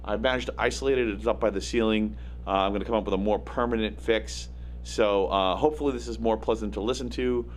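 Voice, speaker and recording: Gravelly, raspy man with a low voice. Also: fairly even intonation, quick pace. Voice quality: gravelly, raspy, slightly nasal. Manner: rambling, self-deprecating, many fillers and restarts. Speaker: host. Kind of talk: casual livestream talk. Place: small home studio. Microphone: studio microphone. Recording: faint hum in the electronics. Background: faint electrical hum.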